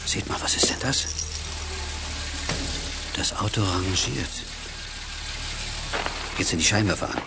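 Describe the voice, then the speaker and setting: A good-natured but worried father in a children's radio adventure. His middle-aged male voice swings expressively in pitch, over clearly noisy ambience. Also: animated, slow, long pauses, full character voice. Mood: weary, reluctant. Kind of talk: German children's radio play acting.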